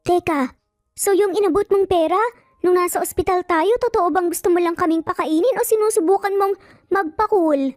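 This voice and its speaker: A cheeky little six-year-old boy, with a very high, childlike voice and an earnest, exaggerated way of talking. It is a storybook figure, recorded dry with faint music behind. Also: moderate pace, a little mellow, dry recording. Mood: suspicious, cheeky. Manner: exaggerated childlike speech, playing little detectives.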